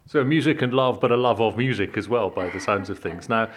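A man's voice: on the low side, a little fast. A man hosting a radio interview, speaking as a presenter to a guest. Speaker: radio host.

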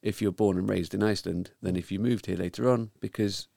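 A man hosting a weekly news talk show, speaking in a measured way with frequent ums. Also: deep voice, steady tone, vocal fry, dark tone, no echo. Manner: measured, hesitant with many ums. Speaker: podcast host. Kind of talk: casual news discussion.

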